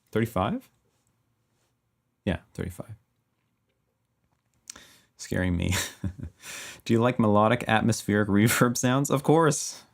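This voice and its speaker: Very deep, gravelly-voiced man. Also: slow, long pauses. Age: 30s. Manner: relaxed, laughing, thinking aloud while working. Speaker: livestream host composing music. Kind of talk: casual livestream chat, reading viewer comments. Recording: faint music playing behind, keyboard typing.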